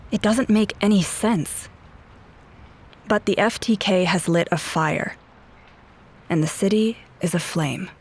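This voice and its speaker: An actress playing a determined, serious police agent in an audio drama, terse and intent. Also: female voice, lowish voice, a little hiss or hum. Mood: brooding, intent.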